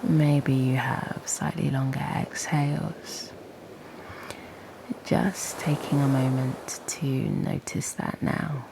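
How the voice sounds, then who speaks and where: A woman leading a guided meditation as host and teacher, speaking softly and breathily in a gentle, half-whispered voice with long, unhurried pauses. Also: rumbling low, fairly even intonation, vocal fry. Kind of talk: guided meditation, slow and soothing.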